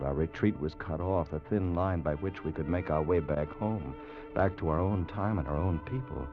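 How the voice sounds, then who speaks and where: Man as young adventurer narrating, deep and animated, muffled old radio recording. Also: natural voice. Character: young male time traveller, earnest. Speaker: narrator and time traveller. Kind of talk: 1940s radio acting.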